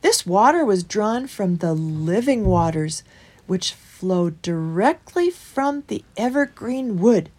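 A woman's voice: medium pitch, animated, slow, a little mellow, slightly rough. A woman voicing a wise, friendly male badger who speaks earnestly in a children's story.

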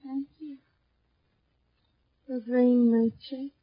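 An actress playing a drugged, frightened captive wife in a 1950s radio drama, speaking in breathy, halting, drowsy broken phrases. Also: female voice, sits high, flat delivery, full character voice. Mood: dazed, weak. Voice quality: breathy, faltering. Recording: muffled vintage 1950s radio recording.

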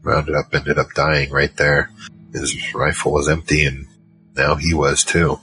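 A man's voice: extremely low pitch, flat delivery, measured pace. A man playing a battle-worn gunfighter who narrates his own story in an audio drama, terse and world-weary. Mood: grim, drained. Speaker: narrator and lead character. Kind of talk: first-person noir-like audio drama narration. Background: music.

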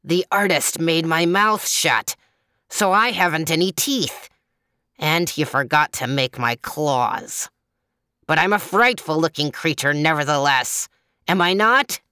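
A woman's voice: low-pitched, animated, unhurried, sharp tone, clear, resonant tone, dry recording, clean studio sound, exaggerated voice. A woman voicing a touchy, boastful male leopard with a snarling manner in a storybook tale. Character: vain, touchy male leopard, toothless but fierce.

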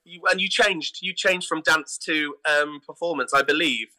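A man's voice: on the high side, normal speed, pushed and bright, low-quality recording. A British man chatting enthusiastically and casually as a theatre director being interviewed on a podcast.

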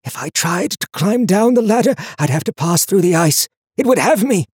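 Man acting a frantic, hoarse, high-strained ragged traveler. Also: big pitch swings, slow pace, dry recording, studio quality, heavily acted. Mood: fearful. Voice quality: hoarse, whispery. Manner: urgent, pleading. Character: frantic, frightened male traveler, worn and ragged. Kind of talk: audiobook character acting.

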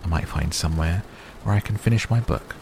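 A man's voice: deep voice, fairly quick. A man voicing an old hobbit in a story reading, fussy, stubborn and testy, with a defensive manner, on a noisy recording. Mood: weary, restless. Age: older. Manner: stammering, impatient, defensive.

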